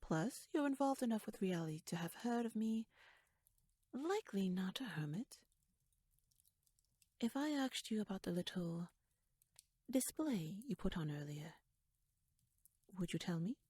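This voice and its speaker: A woman playing a shrewd, teasing royal noblewoman in an ASMR audio roleplay, speaking softly in a wry, courtly, measured way that slips toward a whisper. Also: mid-range voice, lively intonation, breathy edge, dry recording. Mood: probing, wry.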